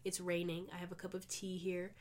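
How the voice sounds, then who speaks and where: A woman's voice: fairly low pitch, completely flat delivery, fairly quick, darkish tone, slight background noise. A woman hosting a podcast solo with a congested, stuffed-up voice, chatting casually and informally in an upbeat way. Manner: chatty, informal, frequent 'like' and 'you know'; upbeat, positive. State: sick with a cold. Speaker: podcast host.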